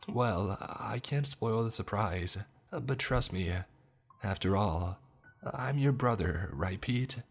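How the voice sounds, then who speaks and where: A man's voice: on the low side, lively intonation, slow pace, gravelly, breathy edge, soft background music, pitched above the performer's own voice, exaggerated voice. A man voicing a cheerful, eerie ghost of a young boy in a spoken horror story. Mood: teasing. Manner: playful, coaxing.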